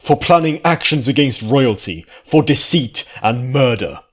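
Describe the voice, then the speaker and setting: A man voicing a regal female pony princess with a measured, imperious and solemn manner in a fantasy story. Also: medium pitch, expressive, pitched above the performer's own voice. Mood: commanding anger.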